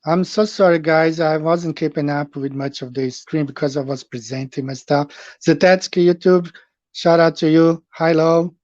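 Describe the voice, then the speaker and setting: Brazilian-accented male streamer, middle-aged, higher voice, dry dull sound, loose rambling delivery. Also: steady tone, normal speed, a little mellow, no room sound. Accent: Brazilian Portuguese. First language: Portuguese. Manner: rambling, with frequent fillers and questions to viewers. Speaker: live stream host. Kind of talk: casual live stream chat with viewers. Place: home, in Japan.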